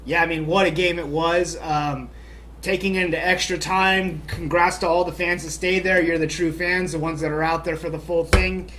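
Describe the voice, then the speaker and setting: A man with a high, bright-sounding speaking voice co-hosts a casual soccer chat. He talks in an upbeat, chatty way, full of "you know" fillers, with a faint hiss in the background. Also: fairly even intonation, moderate pace. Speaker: podcast co-host.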